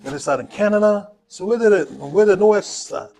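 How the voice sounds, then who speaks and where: A man from Samoa acting as co-host at home, asking his wife questions in a halting, playful way, often repeating himself. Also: high-pitched, warm tone. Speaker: co-host, interviewer. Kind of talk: casual chat.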